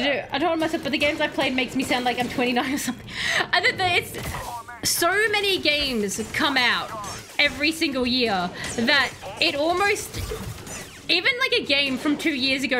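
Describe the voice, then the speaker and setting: A woman streaming a game live, chatty and excitable, answering her chat, her voice slightly hoarse. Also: high voice. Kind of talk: live gameplay commentary.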